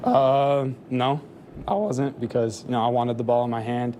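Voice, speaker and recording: A young man in his twenties answering questions at a postgame press conference, soft-spoken with many fillers. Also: medium pitch, steady tone, moderate pace, noisy background. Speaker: football player at a press conference.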